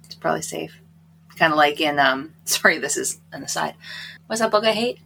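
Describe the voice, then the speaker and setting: A woman's voice: deep voice, lively intonation, brisk, gravelly, bright, forceful tone, a little hiss or hum, rough recording. A playful, giggly woman casually chatting as co-host of a book podcast. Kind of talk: casual chat with asides.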